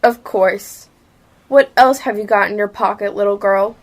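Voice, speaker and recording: A woman's voice: medium pitch, measured pace, lightly acted. A female performer playing a bossy, ceremonious storybook creature in an amateur radio play. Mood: pondering, decisive.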